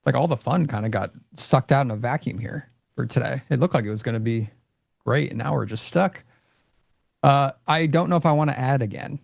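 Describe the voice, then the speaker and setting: A man's voice: medium pitch, a little fast, vocal fry, no echo, clean studio sound. A man casually talking through his trades on a live stream, rambling with lots of fillers. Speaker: trader host. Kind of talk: live trading commentary.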